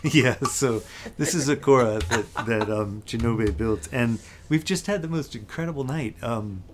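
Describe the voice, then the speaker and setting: A middle-aged man hosting a casual chat in his home basement studio, speaking in a relaxed, hesitant way with frequent ums. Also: mid-range voice, unhurried, a little hiss or hum. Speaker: host musician. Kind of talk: casual video intro and chat.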